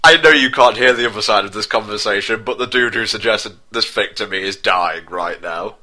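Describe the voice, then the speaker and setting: A man's voice: on the low side, a little fast, pushed and bright, a little hiss or hum. A man reading a comic story in mock-dramatic style, theatrically stressing clumsy wording. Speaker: narrator of comedic dramatic fan-fiction reading.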